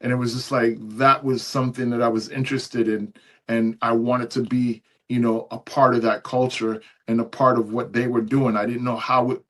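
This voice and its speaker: A middle-aged man, born in Canada and raised in New York City, talking as a DJ guest in a casual interview, relaxed and often saying "you know what I mean". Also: medium pitch, normal speed.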